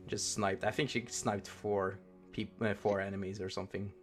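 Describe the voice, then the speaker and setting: A man's voice: lowish voice, flat delivery, moderate pace, soft background music. A man from Norway with a Norwegian accent, chatting casually as the game master and host of a streamed tabletop game. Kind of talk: casual post-game chat.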